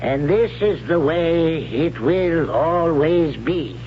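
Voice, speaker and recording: Stern zealot patriarch, slow and high-pitched. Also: male voice, expressive. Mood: stern, solemn. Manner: declamatory, commanding, solemn. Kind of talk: 1970s radio drama acting. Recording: dull, noisy old radio recording with hum.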